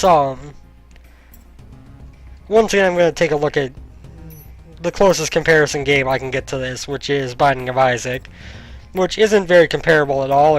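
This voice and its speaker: A young man with a slightly raised pitch talks through his gameplay into a headset mic, rambling and thinking aloud with plenty of ums. His voice is a little hoarse, and faint game music plays underneath. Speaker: gaming YouTuber. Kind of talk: casual let's-play commentary. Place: home room, indoors.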